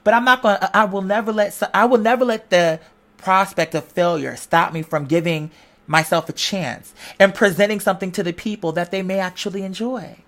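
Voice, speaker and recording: A woman's voice: medium pitch, steady tone, a little fast. A woman vlogger delivering an informal motivational monologue, conversational and slangy, with emphatic, preacher-like repetition. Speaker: online video creator giving a pep talk.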